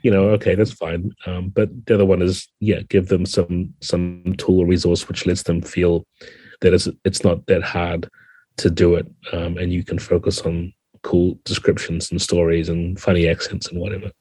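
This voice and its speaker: A man's voice: deep voice, level tone, moderate pace, dark tone, husky, no echo. A man with a New Zealand accent explaining things conversationally as a guest in a casual podcast interview. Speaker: podcast guest, tabletop game designer.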